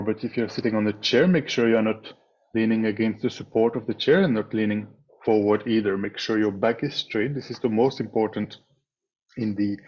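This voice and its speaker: A man leading a guided meditation as a teacher, speaking in a measured way with pauses between short phrases over a slightly dull video call. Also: fairly low pitch, darkish tone, breathy edge. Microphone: video call microphone.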